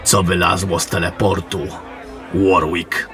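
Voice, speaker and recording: Guy playing gruff old-campaigner general in fantasy audio drama, lowish voice, blunt, music behind him. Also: flat delivery, measured pace, a bit bright, a faint crowd. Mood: grim. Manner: blunt, colloquial, questioning. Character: gruff battle-worn fantasy general, commanding, blunt, suspicious.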